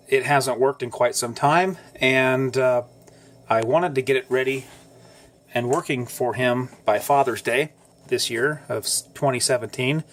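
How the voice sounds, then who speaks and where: A man talks through his repair of an old tube radio at an easy pace, stopping often for ums and uhs and fixing his own words as he goes. His breathing has a slight wheeze from asthma. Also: medium pitch. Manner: halting, many ums and uhs, corrects himself. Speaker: amateur radio operator restoring an old radio. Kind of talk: casual how-to explainer.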